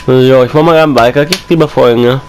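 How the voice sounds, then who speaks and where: A young man with a slight cold, chatting casually as he plays and comments on a console game, repeating and rhyming his lines and breaking into excited outbursts. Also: medium pitch, moderate pace, a little hiss or hum. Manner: excited outbursts while playing. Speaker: gaming YouTuber.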